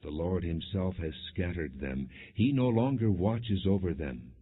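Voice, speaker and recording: A man narrating an audiobook, reading scripture in a solemn, measured and dramatic way. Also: low voice, animated, husky. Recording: tinny phone-like narrow-band sound.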